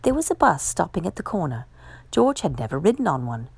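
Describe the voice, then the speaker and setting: A woman reading a children's picture book aloud as a storyteller, with expressive, measured delivery. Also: on the low side, vocal fry, breathy edge, no echo. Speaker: storytime reader.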